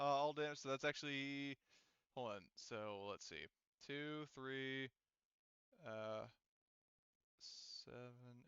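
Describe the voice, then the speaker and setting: Man, game master, smooth clear voice, casual rules talk, dry close sound, slightly muffled. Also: mid-range voice, sharp tone, no room sound. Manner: thinks aloud while counting and working out rules. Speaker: game master running a tabletop roleplaying game.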